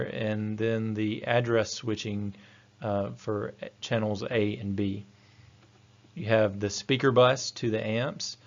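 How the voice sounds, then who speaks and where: Male technical trainer, low and monotone, methodical delivery, slightly muffled lo-fi recording. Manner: matter-of-fact, methodical. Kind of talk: slide-based technical lecture.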